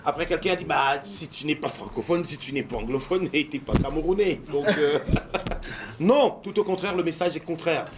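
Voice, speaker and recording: A man hosting a TV interview in a lively, chatty way. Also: on the high side, normal speed, background noise. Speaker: TV host. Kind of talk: casual interview.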